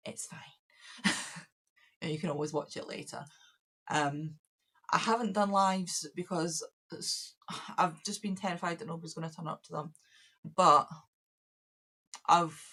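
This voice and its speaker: A woman with a croaky voice, talking through her plans for her craft business in a rambling, self-correcting way. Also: lowish voice. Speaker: craft business owner and YouTuber. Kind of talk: casual vlog planning.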